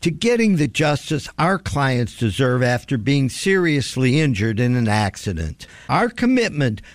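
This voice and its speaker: A man's voice: mid-range voice, sing-song, a little slow, no echo. A man reads a scripted radio advertisement as a law firm spokesman, earnest and formal.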